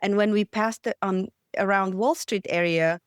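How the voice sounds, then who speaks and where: A woman's voice: medium pitch, steady tone, moderate pace, clear, resonant tone, no echo, studio quality. A middle-aged woman with a Bulgarian accent, telling her life story as a podcast guest in an earnest, reflective way. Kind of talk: storytelling interview.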